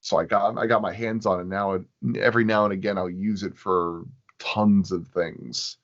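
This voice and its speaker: A man chatting casually as an interview guest, explaining his work in a halting, rambling way. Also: lowish voice, fairly even intonation. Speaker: sound designer, podcast guest. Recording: dull, lo-fi audio.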